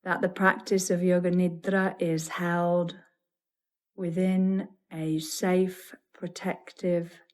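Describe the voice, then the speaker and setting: A woman leading a guided yoga nidra meditation as a teacher, soothing and deliberate, in measured phrases broken by pauses. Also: lowish voice, flat delivery.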